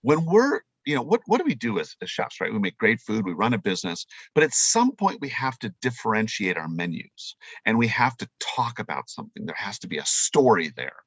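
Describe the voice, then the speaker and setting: A man's voice: lowish voice, fairly quick, few pauses, husky, no room sound, rough recording. A man who works as a chef and presenter, talking in a casual, playful, emphatic interview style. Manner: animated, informal, playful, emphatic.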